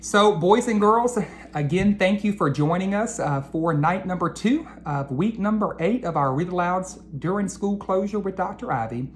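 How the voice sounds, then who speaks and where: A woman educator talking to children after a storybook read-aloud, deliberate and earnest, addressing them directly. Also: fairly low pitch, expressive, slight background noise.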